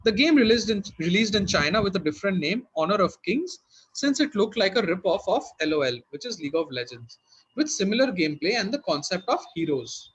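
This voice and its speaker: A man's voice: high voice, big pitch swings, brisk, fairly bright tone, slightly rough, dull audio. A man working as a quizmaster, reading out questions and hosting in a conversational way.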